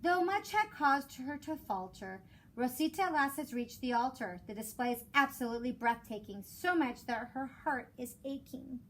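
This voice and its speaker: Female teacher, high-pitched, expressive children's read-aloud, measured delivery, clear background noise. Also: low-quality recording. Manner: measured storytelling with rhymed cadence. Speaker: elementary school teacher.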